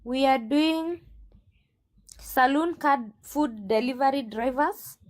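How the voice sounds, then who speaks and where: Brief: woman agent under questioning, high voice, firm, sassy comebacks. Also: takes it slowly, darkish tone. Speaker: recruitment agent. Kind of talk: defensive interview answers.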